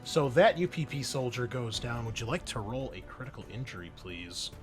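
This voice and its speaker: A man's voice: mid-range voice, big pitch swings, moderate pace, soft background music. A man narrating a tabletop roleplaying game as game master, in a casual, conversational way. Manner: casual, conversational, mild swearing.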